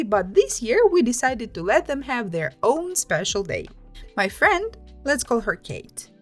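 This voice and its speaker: High-pitched, lively woman reading stories aloud. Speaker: host reading online stories and commenting. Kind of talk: scripted narration of internet stories with commentary.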